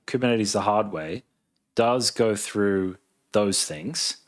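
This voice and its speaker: A man in his thirties with an Australian accent, chatting casually on a livestream, thinking aloud as he reads and answers questions. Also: fairly low pitch. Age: 30s. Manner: laid-back, thinking aloud. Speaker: live streamer, software engineer.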